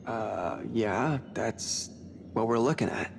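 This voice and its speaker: An actor playing a sardonic, pessimistic space crewman with a gravelly voice in a sci-fi game. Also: fairly low pitch, expressive, takes it slowly, slight background noise, exaggerated voice. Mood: sardonic, blunt. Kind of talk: sci-fi game dialogue acting.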